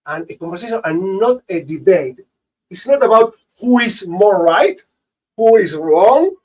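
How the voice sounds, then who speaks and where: A man from America, hosting a panel conversation among rabbis in a halting, word-repeating way. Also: recorded from a distance, rough recording. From Latin America. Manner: halting, repeats words, animated pitch. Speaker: host, rabbi.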